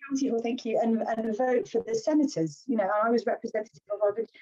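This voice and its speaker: A British woman, a village councillor, talking in an animated, earnest way as a guest in a casual podcast conversation. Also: fairly high pitch, fast. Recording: slightly dull lo-fi sound.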